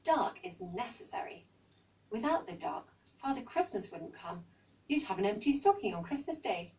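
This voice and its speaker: A woman's voice: medium pitch, big pitch swings, moderate pace, a little mellow, recorded from a distance. A woman with an English accent voicing a confident, matter-of-fact little girl in a storybook.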